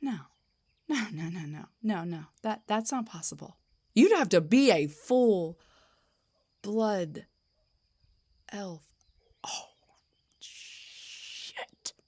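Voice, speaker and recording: A woman voicing an indignant, defensive half-drow bard in a scripted fantasy roleplay audio, with a breathy, theatrical delivery. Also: mid-range voice, expressive, extremely slow, long pauses, a little mellow. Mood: panicked, stammering. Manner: theatrical, sarcastic, emphatic, stammers when rattled. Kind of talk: scripted roleplay monologue, one side of a conversation.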